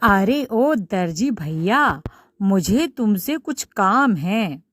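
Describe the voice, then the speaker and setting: A woman's voice: mid-range voice, big pitch swings, drawn-out words, dry recording, clean studio sound, exaggerated voice. A woman voicing a cheeky, bold little male mouse in a children's story, demanding and chanting threats in a sing-song way. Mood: eager. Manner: sing-song chanting, pleading then defiant.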